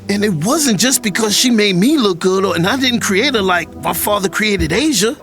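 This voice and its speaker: A man's voice: high voice, expressive, moderate pace, a bit bright, soft background music. A man with an American accent playing a guarded, protective older brother behind bars in an audio drama, talking bluntly. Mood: earnest, hurt. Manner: blunt, colloquial.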